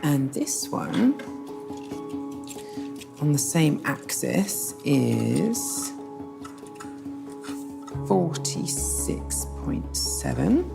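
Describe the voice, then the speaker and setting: A woman presenting a documentary, narrating and explaining in a lively, lightly self-deprecating way. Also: low voice, extremely slow, gravelly, pauses often. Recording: music bed under the voice.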